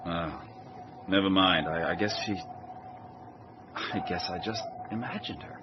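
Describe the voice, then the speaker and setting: Slow-talking weary captain. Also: male voice, low-pitched. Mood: weary, musing. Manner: slow, musing, questioning. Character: weary veteran male military captain, reflective. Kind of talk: radio drama acting. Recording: muffled noisy radio-drama recording.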